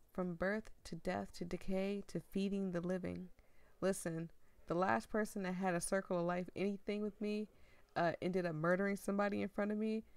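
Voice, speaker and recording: A woman streamer with a hoarse voice she is losing, chatting and laughing as she reads a story game aloud. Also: mid-range voice, dry recording. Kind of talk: casual game commentary and reading aloud.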